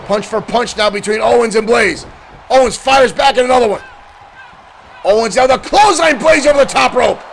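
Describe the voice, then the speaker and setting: A man doing excited play-by-play commentary for a digital wrestling show, shouting at big moments and losing his voice. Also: very high voice, moderate pace, creaky, a little hiss or hum.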